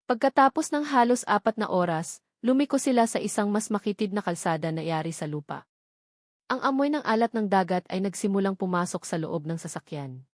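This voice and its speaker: Female Filipino storyteller for romance audiobook, dramatic scripted read, mid pitch, close dry recording. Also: a little mellow, dry recording. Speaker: audiobook narrator.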